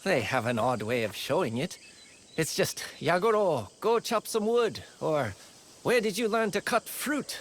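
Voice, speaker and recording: Young man, earnest protector, dramatic game acting, higher-pitched, expressive range. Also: measured pace, slight background noise. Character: earnest, defiant young male protector of villagers.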